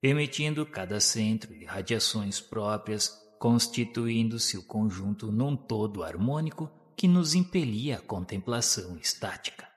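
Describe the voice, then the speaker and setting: A man's voice: fairly low pitch, animated, measured pace, few pauses. A man with a Brazilian Portuguese accent giving formal, solemn, scripted literary narration for a radio drama. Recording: long reverb on the voice.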